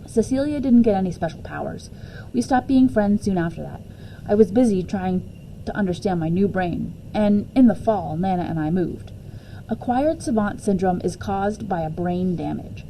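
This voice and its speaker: Woman, mellow soft-edged tone, expressive first-person storybook read-aloud, mid pitch, noticeable background noise. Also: moderate pace, rough recording. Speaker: teacher reading a book aloud.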